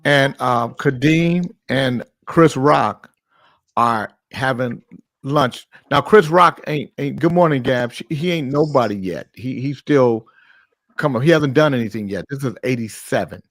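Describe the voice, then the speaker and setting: A man hosting a morning talk show, telling a long, profane anecdote in a casual, animated way. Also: medium pitch, unhurried, no echo. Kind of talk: casual storytelling.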